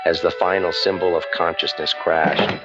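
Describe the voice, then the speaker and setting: An actor playing a cynical, wisecracking private detective in a deadpan, sardonic 1940s radio noir style. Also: male voice, low-pitched, moderate pace, lightly acted. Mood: grim narration. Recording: dull lo-fi sound over music.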